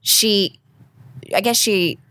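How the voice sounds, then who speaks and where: A woman's voice: medium pitch, very slow, pushed and bright, a little hiss or hum. A woman from Texas telling a personal story in a chatty, laughing way with self-deprecating asides. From Houston, Texas. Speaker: storyteller guest. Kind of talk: casual storytelling chat.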